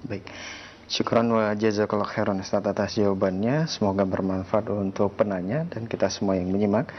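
A male moderator who thanks the teacher and reads a listener's question aloud in a quiet, formal, slow way. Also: on the low side, slight background noise. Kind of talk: religious Q&A moderation. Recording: muffled lo-fi audio.

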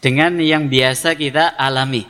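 A man's voice: mid-range voice, fairly even intonation, moderate pace, sharp tone. A man with a Javanese Indonesian accent giving an Islamic lecture, explaining didactically with repeated phrases and questions he answers himself. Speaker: Islamic preacher teaching a congregation. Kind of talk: religious lecture, reading Arabic text then explaining it. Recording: long reverberant hall echo.

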